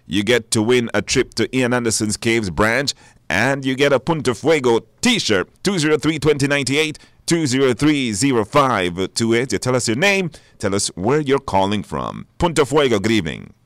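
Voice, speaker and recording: Male radio host, mid-pitched, informal and repetitive, stop-and-start delivery, recorded dry. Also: no echo. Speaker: radio talk show host. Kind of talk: live radio hosting with call-ins.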